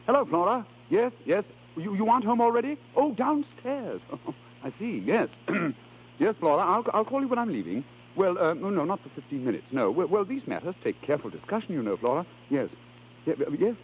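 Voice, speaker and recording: An actor playing a flustered, lovelorn estranged husband, hesitant and earnest, in 1930s radio drama style. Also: male voice, sits high, sing-song, a little fast, husky, full character voice. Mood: flustered, exasperated. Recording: scratchy, tinny 1930s radio recording.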